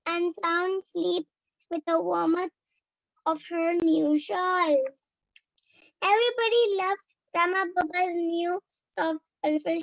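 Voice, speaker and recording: Brief: young girl with an Indian English accent, very high voice with a gravelly edge, halting storybook reading. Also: no echo, dull audio. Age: child. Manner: slow, halting reading with restarts. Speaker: child storyteller. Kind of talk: reading a children's story aloud.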